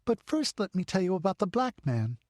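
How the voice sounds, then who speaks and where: A man's voice: sits high, very animated, brisk, no room sound, clean studio sound, voice pushed up. A man putting on a timid little girl. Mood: breathless. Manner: breathless, pleading.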